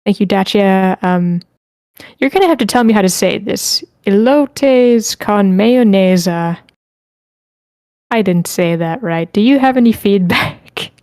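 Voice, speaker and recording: A woman's voice: medium pitch, measured pace, a little mellow, no room sound. Casual woman moderating, close dry sound. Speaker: host moderating a script feedback session. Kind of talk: casual meeting moderation, reading chat messages aloud. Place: online group meeting.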